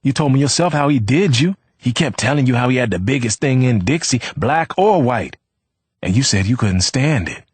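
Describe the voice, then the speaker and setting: A bitter, sneering young man hurls coarse taunts in a husky, raised voice that lurches up and down in pitch, as a narrator acts him out. The sound is close and dry. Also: lively intonation, normal speed, no echo. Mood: cruel. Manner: taunting, coarse street talk. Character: hot-tempered young man, sneering and cruel.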